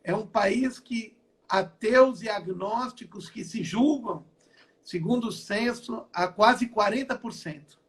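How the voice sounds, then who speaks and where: A man's voice: sits high, takes it slowly, fairly bright tone. An older man from Brazil, a pastor giving his testimony in an online interview from home, repeating and restarting phrases as he talks. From southern Brazil. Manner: repetitive, stacks and restarts phrases. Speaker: apostle and pastor.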